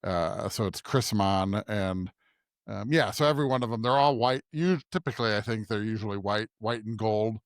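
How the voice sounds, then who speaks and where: A man's voice: on the low side, normal speed, dry recording. Conversational American man, dry close sound. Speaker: podcast co-host and pastor. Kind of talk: casual podcast chat.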